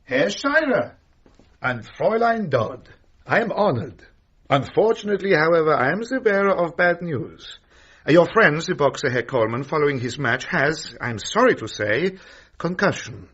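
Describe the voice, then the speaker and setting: Radio drama villain: courteous, threatening German officer, German accent, husky, swooping intonation, formal phrasing. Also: male voice, a little high, expressive, fairly bright tone, muffled recording. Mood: unctuous. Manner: formal, measured, over-polite. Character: suave, menacing male German officer, falsely courteous.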